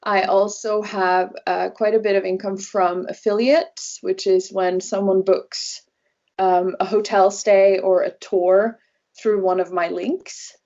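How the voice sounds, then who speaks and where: A woman's voice: medium pitch, level tone, slow, darkish tone. Travel blogger guest: young female, native Norwegian speaker, mid-range voice, conversational, somewhat muffled audio. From Norway. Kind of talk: conversational interview answers.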